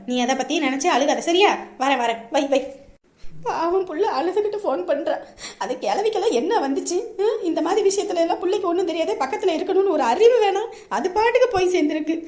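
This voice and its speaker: A woman's voice: extremely high pitch, darkish tone. A woman playing a protective older village mother in a family drama, fussing and plain-spoken. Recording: roomy, echoing sound.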